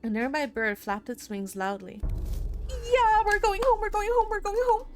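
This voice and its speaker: A woman's voice: very high-pitched, animated, normal speed, a little mellow. A woman game streamer reading a horror visual novel aloud, dramatically, with spontaneous asides. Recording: music behind the voice. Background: game music.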